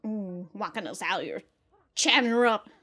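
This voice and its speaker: A woman giving casual, excitable reaction commentary as host, swearing freely and often breaking into laughter. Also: medium pitch, moderate pace.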